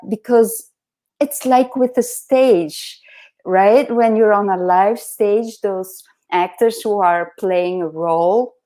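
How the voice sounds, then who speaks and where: A soft-spoken woman hosting a casual interview over a video call, earnest and reflective, laughing often. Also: medium pitch. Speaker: host.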